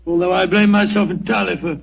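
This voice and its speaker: A man's voice: high-pitched, steady tone, quick pace, gravelly, slightly rough, exaggerated voice. An actor playing an anxious, bluff male doctor companion in 1940s radio acting, flustered and stammering, over a dull old radio broadcast.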